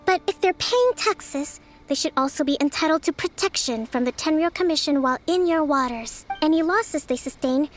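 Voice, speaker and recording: A young female island leader in a game. Her voice is high, her phrasing formal and measured. She stays polite yet firm, with music faintly behind her. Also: expressive. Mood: calm, firm. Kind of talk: video game voice acting. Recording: soft music under the voice.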